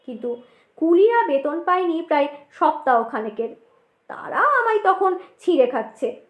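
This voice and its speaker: A woman's voice: extremely high pitch, sing-song, moderate pace. A woman narrating a scripted ghost story as a dramatic storyteller, with roomy echo on her voice.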